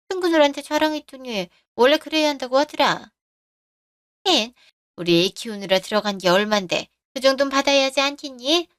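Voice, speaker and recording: A woman's voice: a little high, a bit bright, no room sound, studio quality. A woman voicing a pushy, boastful older mother-in-law who demands money. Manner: demanding, scolding.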